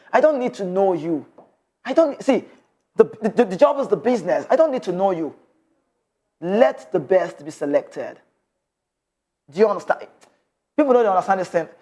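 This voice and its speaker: A man's voice: sits high, darkish tone. A man with a Nigerian accent hosting a sports show, reading football news and giving passionate commentary with bits of Pidgin.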